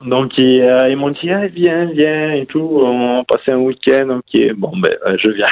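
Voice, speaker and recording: A man with a Toulouse accent, a cycling guest in a casual interview, talking haltingly with many fillers. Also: mid-range voice, vocal fry, dry recording. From southern France. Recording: tinny phone line.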